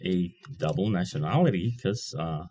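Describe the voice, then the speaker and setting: A man, a guest in an interview, speaking hesitantly with many pauses and a dry, self-deprecating manner. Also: low voice, animated, mellow, husky. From Puerto Rico. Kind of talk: casual interview chat.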